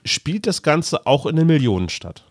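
A man's voice: fairly high pitch, big pitch swings, moderate pace. A man, hosting a podcast and chatting casually about radio dramas. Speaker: podcast host.

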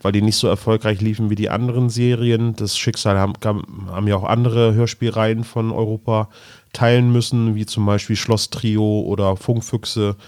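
A man's voice: fairly low pitch, flat delivery, moderate pace, a little mellow, no echo, clean studio sound. A man co-hosting a podcast, talking casually as a fan in an informal conversation. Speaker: podcast co-host. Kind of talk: casual fan chat about audio dramas.

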